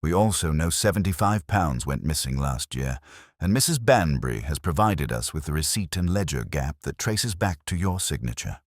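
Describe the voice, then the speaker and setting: A man voicing a calm, quietly shrewd police inspector who lays out evidence in a measured, understated and methodical way. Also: rumbling low, no room sound, studio quality, full character voice. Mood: quietly firm.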